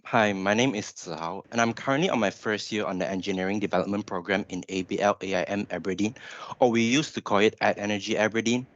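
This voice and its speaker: A man's voice: lowish voice, fast, highs cut off. A man in his twenties with a Malaysian accent, giving a webinar presentation in an informal explanatory way. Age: 20s. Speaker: graduate engineer presenter.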